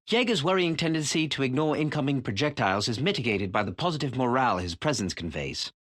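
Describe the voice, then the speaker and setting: Military director for a shooter game: formal, deliberate, scripted, mid-pitched with animated inflection. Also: male voice, no room sound, studio quality. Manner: formal, measured, deliberate delivery. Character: male special-forces director, measured, formal, appraising his operatives. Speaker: game announcer introducing operators. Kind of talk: scripted narration. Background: music.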